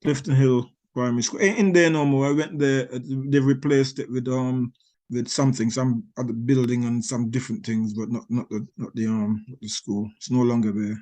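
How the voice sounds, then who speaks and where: A man's voice: medium pitch, steady tone, normal speed, darkish tone. Older Barbados-born man, rambling answers. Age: 60s. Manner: rambling, many restarts and fillers, chuckles. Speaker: author.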